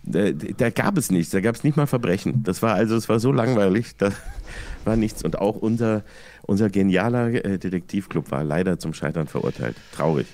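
A man telling an anecdote casually in a halting, rambling, self-mocking way. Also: lowish voice, animated, a little mellow. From Germany.

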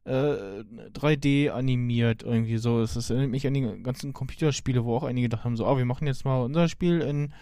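A man chatting casually as a podcast panelist, starting and restarting haltingly. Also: mid-range voice, warm tone, no room sound, studio quality. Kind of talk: casual chat.

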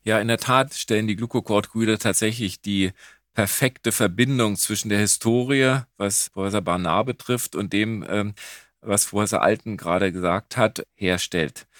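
A man's voice: on the low side, normal speed, fairly bright tone, no echo, studio quality. A man explaining medicine as an expert, conversational and stressing key phrases. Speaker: rheumatologist, professor, expert speaker.

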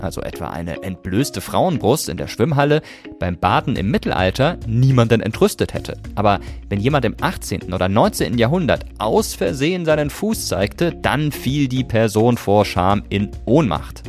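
A man's voice: medium pitch, sing-song, moderate pace, faint music behind. A man, hosting a podcast with scripted narration. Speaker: podcast host.

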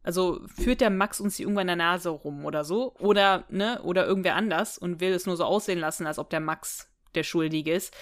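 A woman co-hosting a podcast in a casual chat, recapping a story and speculating aloud with frequent tag questions. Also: lowish voice. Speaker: podcast co-host. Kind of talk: casual chat recapping and discussing an audio drama.

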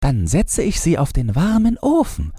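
A man's voice: fairly high pitch, wildly swooping pitch, normal speed, mellow, breathy edge, no room sound, studio quality, pitched above the performer's own voice, heavily acted. A man voicing a curious, bold little child in a fairy tale, with a naive, eager manner.

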